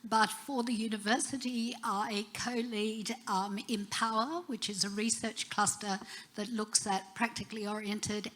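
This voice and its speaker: A woman's voice: medium pitch, a bit bright, a little hiss or hum. A woman from Australia, a teacher educator, introducing herself fluently on a conference panel.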